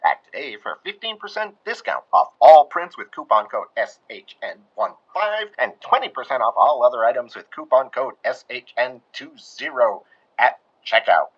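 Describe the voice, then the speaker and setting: A man reading an ad in a lively, old-radio salesman style. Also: medium pitch, moderate pace, slightly rough, lo-fi sound. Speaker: ad announcer. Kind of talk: vintage-style radio ad read.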